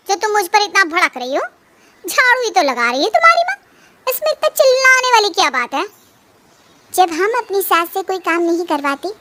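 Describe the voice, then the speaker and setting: A woman voicing a scheming, mocking elder daughter-in-law in an animated family story. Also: very high voice, heavily acted. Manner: taunting and sneering.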